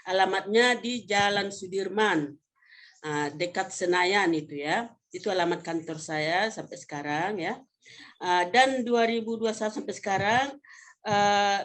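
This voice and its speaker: A woman in her fifties from North Sumatra, Indonesia, presenting slides informally as a professor, in a conversational, halting way with self-corrections. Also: medium pitch. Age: 50s. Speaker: vocational education professor presenting a webinar.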